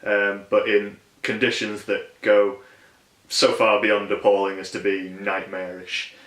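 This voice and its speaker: A low male voice with a bright, forceful edge, recorded in an echoey room. He speaks informally as an interviewed writer and is not shy of swearing. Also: completely flat delivery, a little slow. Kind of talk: casual interview talk.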